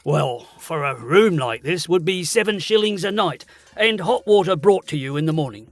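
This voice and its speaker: An actor playing an obliging, chatty lodging-house keeper, deferential and folksy, in a period gothic audio drama. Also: male voice, fairly high pitch, expressive, moderate pace, no echo, lightly acted.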